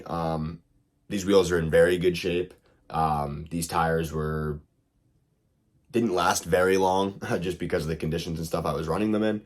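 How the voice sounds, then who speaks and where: Brief: young man, very low voice, hoarse from illness, loose and rambling how-to host. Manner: loose, rambling, lots of fillers. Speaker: RC hobby video host. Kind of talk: casual how-to tutorial.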